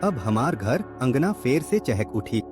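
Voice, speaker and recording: A man's voice: mid-range voice, very animated, unhurried, music in the background. A man playing a humble, devout and joyful village snack vendor in a cartoon, exuberant, with a Bhojpuri accent. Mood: joyful, excited. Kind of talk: animated moral story dialogue.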